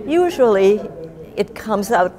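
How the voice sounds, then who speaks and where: An older woman giving casual interview answers in a reflective, gently humorous way. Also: medium pitch, big pitch swings, slow pace, a crowd in the background. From Japan. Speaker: guest, artist and writer.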